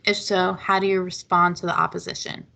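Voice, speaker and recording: A woman's voice: lowish voice, flat delivery, fairly quick, vocal fry, bright, forceful tone. A woman moderating an event and reading out audience questions.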